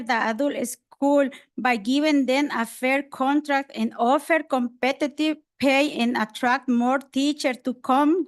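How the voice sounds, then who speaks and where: A woman from El Salvador with a Salvadoran Spanish accent, a Spanish speaker who reads a short public comment in simple learner's English at a school board meeting. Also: high voice.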